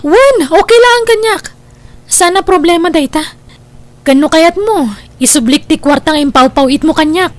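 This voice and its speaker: A woman's voice: extremely high pitch, moderate pace, no echo. A woman with an Ilocano accent playing a wronged girlfriend in an audio drama, pleading her case in a plaintive, emphatic way. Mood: hurt, indignant. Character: wronged, hurt girlfriend defending herself.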